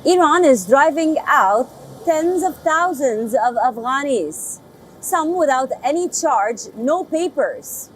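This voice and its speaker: High-voiced woman anchoring the news. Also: lively intonation, slow pace, soft background music, poor audio. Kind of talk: studio news introduction.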